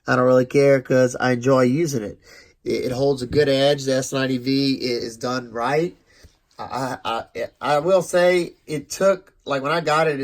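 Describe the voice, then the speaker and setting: A man with a blocked, nasal voice from congestion talks through a knife review for his channel. His delivery is loose and conversational, with restarts and asides aimed at his viewers. His pitch sits in an everyday middle range. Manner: rambling, loose, self-correcting, speaks to viewers directly. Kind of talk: casual product review vlog.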